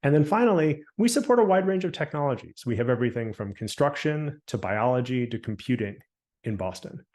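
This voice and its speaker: A man presents slides over a video call, working through a list in an even, businesslike way. His pitch sits in a middle range. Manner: brisk listing, slowing on names. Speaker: program staff presenting.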